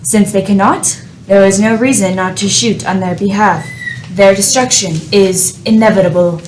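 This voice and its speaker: A woman playing a contemptuous, menacing spaceship AI in a radio play, speaking in a cold, deliberate, haughty way. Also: medium pitch, steady tone, a little hiss or hum, lightly acted, poor audio. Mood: scornful menace. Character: contemptuous, menacing spaceship artificial intelligence judging humanity.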